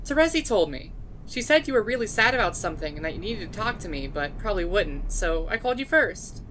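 A woman's voice: medium pitch, a little fast, slight background noise. A woman playing a chatty, blunt young woman scientist who is part dog, in casual fan dub drama dialogue. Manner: casual, animated, exasperated at hesitation.

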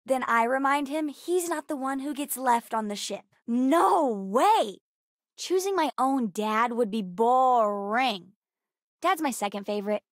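A woman voicing a bright, bookish, spirited young girl in a video game, talking in an earnest, chatty, childlike way. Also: high voice, expressive, a little slow, dry recording, clean studio sound. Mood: playful, candid. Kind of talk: acted video game character dialogue.

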